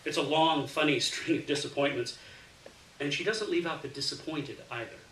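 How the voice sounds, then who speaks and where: A man reads a first-person literary story aloud as an expressive storyteller, performing the dialogue within the narration. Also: a little high, moderate pace. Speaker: story reader. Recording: roomy, distant mic, faint hiss.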